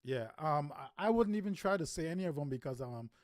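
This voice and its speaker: A man's voice: a little high, animated, brisk, a little mellow, no echo. A man hosting a live morning radio show, chatting loosely with many ums and you-knows as he asks his co-host questions. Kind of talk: casual radio chat. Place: radio studio.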